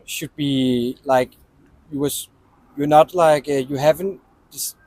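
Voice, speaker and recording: A man's voice: a little high, steady tone, slow pace, darkish tone, dry recording, poor audio. A man answering a street interview, rambling and restarting his sentences. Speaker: tourist interviewee.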